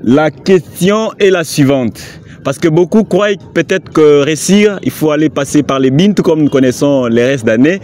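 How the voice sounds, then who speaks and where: A man's voice: fairly high pitch, expressive, moderate pace, darkish tone, slight background noise. A man working as a journalist, formally introducing guests and asking them questions. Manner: formal, addressing viewers and guests. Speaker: journalist, co-host.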